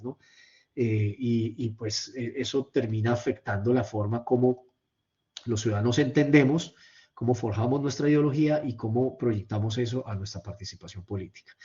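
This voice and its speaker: A man's voice: mid-range voice, a little mellow. A man giving a university lecture over slides in a hesitant, explanatory way, often repeating words and checking in with tag questions. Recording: slightly dull, lo-fi microphone sound.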